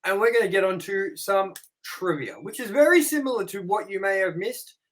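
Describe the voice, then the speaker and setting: A man's voice: high-pitched, animated, moderate pace, fairly bright tone. A man co-hosting a casual movie review chat, rambling with lots of fillers and "you know". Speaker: co-host.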